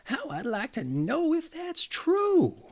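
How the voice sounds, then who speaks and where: A man voicing a gullible, eager peasant husband in a storybook, earnest, excited and long-winded. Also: extremely high pitch, takes it slowly, voice pushed up, heavily acted. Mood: astonished.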